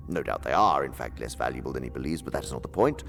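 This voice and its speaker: An actor playing a haughty, scheming senior demon who lectures in a pompous, theatrical, condescending way, in a radio drama. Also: male voice, expressive, fast, fairly bright tone, soft background music, full character voice. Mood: lecturing, sly. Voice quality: very deep, gravelly.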